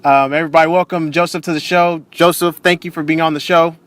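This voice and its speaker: A man's voice: on the high side, steady tone, fast, fairly bright tone. A man hosting an interview show, upbeat and chatty with many fillers. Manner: upbeat, filler-heavy, lots of "man" and "you know". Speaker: host. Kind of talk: casual interview.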